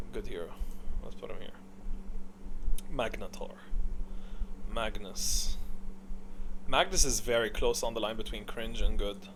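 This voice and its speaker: A man's voice: lowish voice, creaky, background noise. A man giving casual, opinionated gaming commentary, rambling and thinking aloud in a blunt way. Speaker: gaming video creator.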